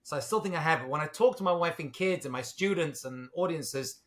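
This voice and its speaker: Middle-aged Londoner with a British accent, a bit higher voice, casual guest talk. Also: male voice, brisk, a bit bright. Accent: British English, London. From England. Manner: self-deprecating, jokey, with fillers. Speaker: rabbi, guest.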